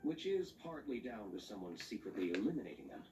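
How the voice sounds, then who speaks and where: An actor playing a composed, shrewd gentleman monster hunter in a video game, with cool, formal, probing delivery. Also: on the low side, sing-song, brisk. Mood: matter-of-fact. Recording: roomy distant game audio with noise.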